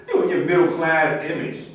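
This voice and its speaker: A man acts a scornful, teasing character on stage. His fairly high voice leaps around in pitch. It is recorded from a distance. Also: huge pitch swings, moderate pace. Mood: mocking. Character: mocking, animated man scorning a hypocrite. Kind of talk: staged reading of a play. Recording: echoey room, distant mic, faint hiss.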